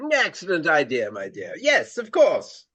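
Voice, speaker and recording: An actor playing a vain, jovial Regency stage actor with courtly, effusive, old-fashioned speech in a radio play. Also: male voice, on the high side, wildly swooping pitch, a little slow, fairly bright tone, breathy edge, muffled recording, full character voice. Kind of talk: radio drama acting.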